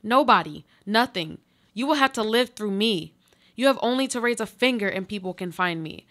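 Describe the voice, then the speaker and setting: A woman acting in a live radio play as a trapped woman stubbornly clinging to life, speaking in solemn, deliberate monologue. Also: mid-range voice, lively intonation, a bit bright, natural voice. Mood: pleading, urgent.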